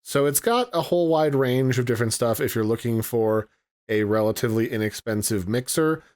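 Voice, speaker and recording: A man's voice: mid-range voice, brisk, darkish tone. A man giving a casual, chatty product review and walkthrough, explaining things in a folksy way. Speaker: tech reviewer.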